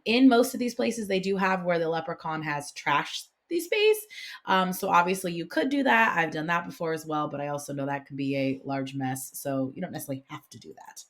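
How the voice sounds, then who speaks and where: A woman hosting an informal instructional vlog, explaining things conversationally like a classroom teacher. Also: on the low side. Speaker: classroom teacher and video host. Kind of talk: casual instructional vlog talk about picture books.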